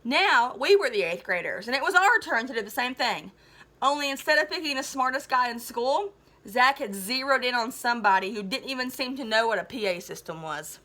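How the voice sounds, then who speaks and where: High, bright, enthusiastic woman teacher reading aloud. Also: fairly quick. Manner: chatty, enthusiastic, animated. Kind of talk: expressive children's story read-aloud with casual commentary.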